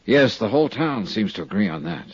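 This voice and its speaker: An actor playing a cool, probing insurance investigator in a 1950s radio drama, asking measured, courteous, insinuating questions. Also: male voice, medium pitch, lively intonation, gravelly, husky, full character voice. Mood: calm, courteous. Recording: dull, dry 1950s radio recording.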